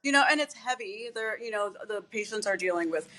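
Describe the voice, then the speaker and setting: Woman, interview guest, relaxed, rambling, filler-heavy, conversational. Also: mid-range voice, brisk, rough recording. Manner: relaxed and rambling, many "um" and "you know" fillers. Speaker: guest, physician assistant and fitness instructor. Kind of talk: casual interview chat.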